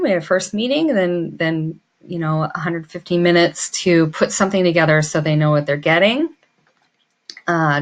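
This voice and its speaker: A woman with a low-pitched voice leads an informal demo for learners. She talks casually, thinking aloud as she works through each step. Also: fairly bright tone. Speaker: floral designer and business course instructor. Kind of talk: informal tutorial walkthrough of a pricing demo.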